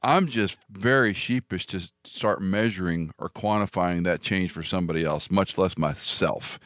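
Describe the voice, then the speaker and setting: A man's voice: sits low, moderate pace, fairly bright tone, no echo, clean studio sound. A man hosting a theology talk show, speaking emphatically and building his points with rhetorical repetition. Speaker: radio talk show host. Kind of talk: conversational theology discussion.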